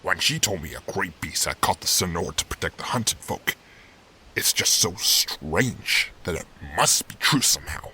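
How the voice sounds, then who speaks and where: A man's voice: low-pitched, expressive, moderate pace, bright, forceful tone, a little hiss or hum, full character voice. A man voicing an older animal uncle, an earnest, hopeful and weathered mentor, in a dramatic fandub. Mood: patient, sincere.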